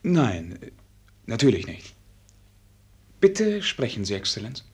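An actor playing a naive, courteous and dismayed prince in a German radio play, with a husky voice and polite, halting aristocratic speech. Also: male voice, mid-range voice, wildly swooping pitch, full character voice.

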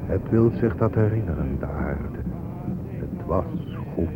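An actor playing a grave Native elder who speaks deliberately, like a solemn refrain, in a poetic radio play. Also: male voice, low-pitched, expressive, heavy background noise. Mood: grave, reverent. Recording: narrow phone-like audio with music behind.

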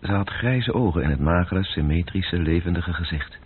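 A man's voice: sits low, lively intonation, moderate pace. A man narrating a radio drama in a literary, scripted style. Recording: muffled old radio recording with faint noise.

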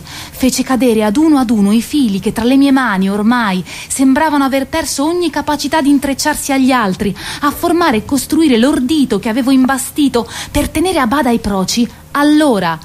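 A woman's voice: a little high, darkish tone, natural voice. An actress playing a proud, weary queen of Greek myth who waits, in a declamatory, ironic radio drama monologue. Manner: declamatory, ironic, lingering on repeated words.

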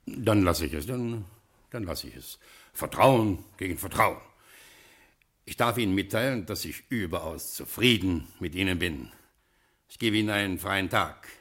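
An actor playing a retired gangster boss in a 1950s radio crime play, stiff and principled. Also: male voice, low-pitched, expressive, moderate pace, fairly bright tone, heavily acted. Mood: composed. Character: retired male gangster boss turned gentleman.

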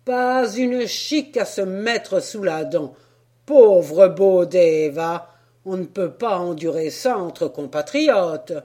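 A woman's voice: lowish voice, lively intonation, takes it slowly, mellow. A woman reading a story, voicing a blunt, no-nonsense male sailor who talks in a brusque, scolding way. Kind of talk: storybook character voice.

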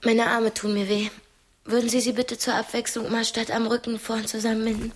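A woman's voice: on the high side, flat delivery, fairly quick, a little hiss or hum, close to an everyday voice. An actress in a radio drama playing a proud, cultured young woman held captive, articulate, candid and critical. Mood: indignant, critical.

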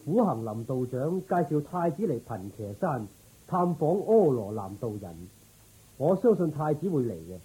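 Man playing a dutiful officer, dark husky voice. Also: mid-range voice, very animated, moderate pace, rough recording. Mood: businesslike. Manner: musing, questioning. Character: dutiful, inquisitive military officer serving a king. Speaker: voice actor. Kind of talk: radio drama acting.

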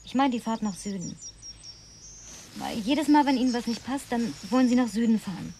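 An actress playing a patient, inquisitive, well-spoken woman in a radio play, gently questioning and coaxing with precise words. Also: on the high side, expressive, fairly quick, a little hiss or hum. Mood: insistent. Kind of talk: German radio play dialogue.

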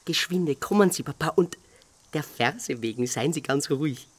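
An actress playing a confident, coaxing grown daughter in a radio drama, speaking with assured, old-fashioned politeness. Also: female voice, low-pitched, expressive, normal speed, slightly rough. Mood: reassuring, confident. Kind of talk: German radio drama acting.

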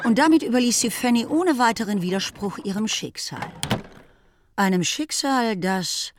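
A woman narrating a literary radio drama in a measured, composed, scripted style. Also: a little high, animated, background noise.

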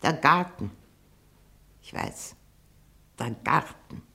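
Creaky, low-voiced imperious old spinster. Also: female voice, lively intonation, bright, forceful tone, full character voice. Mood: suspicious, dismissive. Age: older. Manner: slow, halting, haughty and blunt. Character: very old, shrewd, greedy, imperious spinster aunt. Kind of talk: 1960 German radio play acting.

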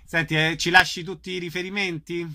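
Slightly high-voiced male radio host. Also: level tone, a little fast, bright, forceful tone. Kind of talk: casual radio interview.

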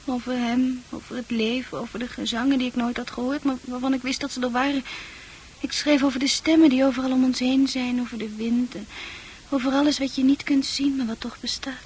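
Casting: woman to play dreamy, troubled young female confidante for Dutch radio drama, high-pitched, poetic and earnest. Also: little pitch movement, fairly quick, darkish tone, slight background noise, lightly acted. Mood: pensive, intense.

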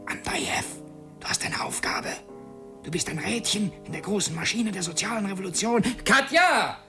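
Husky, brooding revolutionary. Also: male voice, high voice, a bit bright, full character voice. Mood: self-reproachful, then nervously eager. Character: earnest young male revolutionary narrating his secret mission. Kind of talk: literary radio drama, first-person narration and dialogue.

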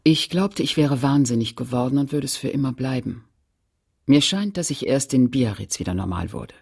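A woman narrator remembering a painful, shamed childhood. The voice is husky, with sober, deliberate phrasing. It is scripted literary radio storytelling. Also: extremely low pitch, expressive, a little mellow, exaggerated voice.

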